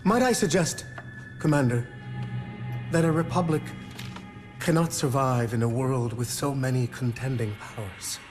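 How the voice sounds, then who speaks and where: An actor playing a deferential gentleman adviser in a video game, speaking formally and politely to persuade. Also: mid-range voice, unhurried, lightly acted. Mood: courteous, persuasive. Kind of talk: dramatic video game acting. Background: music.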